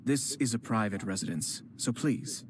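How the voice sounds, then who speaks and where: A man voicing a composed young noble clan head who speaks formally and in a measured way, with gentle teasing. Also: on the low side, expressive, heavy background noise, close to an everyday voice. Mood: courteous calm. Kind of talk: game voice acting. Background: game music.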